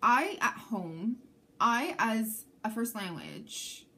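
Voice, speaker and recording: A woman's voice: a little high, very animated, slow, pushed and bright. Chatty tarot-reading woman. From Canada. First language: Armenian. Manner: chatty, often checks in with the viewer. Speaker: tarot reader. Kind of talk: intuitive tarot reading to camera.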